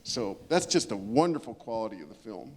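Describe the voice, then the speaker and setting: A man's voice: fairly low pitch, very animated, a little fast, a little mellow, a little hiss or hum. A man on a discussion panel, speaking unscripted and thoughtfully about literature, emphatic and rephrasing as he works toward his point.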